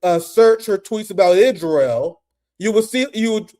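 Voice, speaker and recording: A young man hosting a casual political commentary stream, animated and profane, laughing often. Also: high voice, a little slow.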